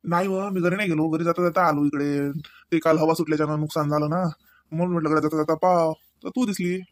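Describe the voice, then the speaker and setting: कार्टूनमधील चटपटीत, चिडवणाऱ्या तरुण गावाकडच्या शेतकरी नवऱ्याला आवाज देणारा पुरुष, वऱ्हाडी बोलीत. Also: male voice, a little high, fairly even intonation, fast, no room sound, clean studio sound, natural voice. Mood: brisk, bossy. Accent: rural Maharashtra, Varhadi Marathi. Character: brisk, teasing young village farmer husband. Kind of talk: comedy cartoon dialogue.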